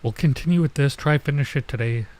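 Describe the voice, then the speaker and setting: A man's voice: medium pitch, fast talker, a little mellow. A man streaming gameplay with casual commentary, thinking aloud in a hesitant, rambling way. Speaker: streamer, virtual YouTuber.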